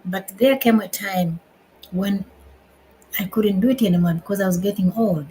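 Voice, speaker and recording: A woman from Uganda in her fifties, hosting a casual live stream from home, unhurried and repeating her phrases as she restarts them. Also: medium pitch, warm tone, slight background noise. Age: 50s. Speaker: livestream host.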